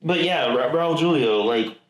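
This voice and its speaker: A man co-hosting a comedic podcast, chatting casually about films in a rambling, halting, emphatic way. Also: on the high side. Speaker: podcast co-host. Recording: echoey room.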